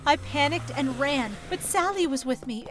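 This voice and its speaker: High-voiced woman narrating a story. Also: expressive, moderate pace, a little hiss or hum. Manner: earnest, emotional first-person telling. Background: soft background music.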